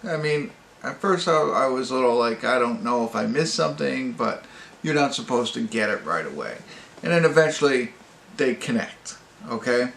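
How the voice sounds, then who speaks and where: Gravelly man rambling through a movie review. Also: mid-range voice, lively intonation, fairly bright tone. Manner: halting, rambling, self-correcting. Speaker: movie review channel host. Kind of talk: casual unscripted movie review to camera. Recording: echoey room with faint noise.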